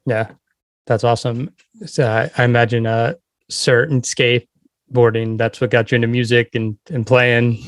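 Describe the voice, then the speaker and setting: A man hosting a music podcast, talking in an enthusiastic, easygoing way at a middle pitch. The sound is dry and close, with no room behind him. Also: flat delivery, moderate pace, no echo. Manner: upbeat, conversational, enthusiastic. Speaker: podcast host. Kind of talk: casual podcast intro and interview chat.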